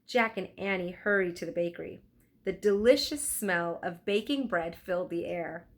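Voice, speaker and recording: A woman's voice: lowish voice, normal speed. A woman narrating a children's book aloud expressively, enunciating for young listeners. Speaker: storybook reader.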